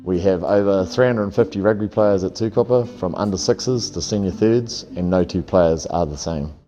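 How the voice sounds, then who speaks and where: A man narrating a club video in a calm, steady, sincere way. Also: sits low, moderate pace, faint music behind. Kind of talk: scripted narration. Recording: dull lo-fi audio.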